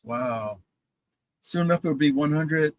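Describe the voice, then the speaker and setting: A young man co-hosting a podcast in casual chat, enthusiastic and halting, with many fillers while reading news items. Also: a little high. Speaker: podcast co-host.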